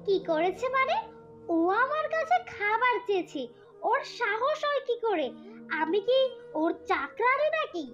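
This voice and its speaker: A woman's voice: very high voice, very animated, unhurried, fairly bright tone, full character voice. A woman voicing a cruel, scheming young stepmother in a fairy-tale cartoon, with a bullying, scolding manner. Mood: furious, bullying. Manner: scolding, contemptuous rhetorical questions. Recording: dull lo-fi cartoon track with music.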